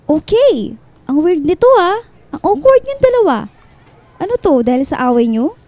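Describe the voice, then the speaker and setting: A breezy young woman in a radio drama, teasing yet concerned. Her voice is high and mellow. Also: sing-song, measured pace, dry recording, full character voice. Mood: teasing, curious.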